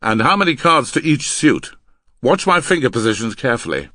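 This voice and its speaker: Audiobook role: male reader as domineering crook, grim and forceful, slightly rough voice, very animated delivery. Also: on the low side, a little slow, dry recording, studio quality, heavily acted. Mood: commanding. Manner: forceful, commanding. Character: grim, bullying male card-sharp, impatient taskmaster.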